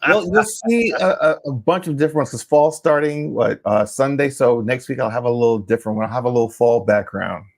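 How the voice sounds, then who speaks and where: A man's voice: mid-range voice, lively intonation, a little fast, few pauses. A man speaking casually as a guest co-host on a talk show. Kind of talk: casual chat.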